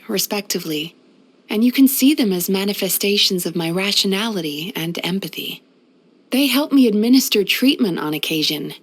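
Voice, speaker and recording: A woman voicing a gentle, wistful, centuries-old yokai healer in a video game, speaking softly in a measured, polite, reflective way. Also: medium pitch, lightly acted. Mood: calm, friendly. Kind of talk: scripted video game character voice-over.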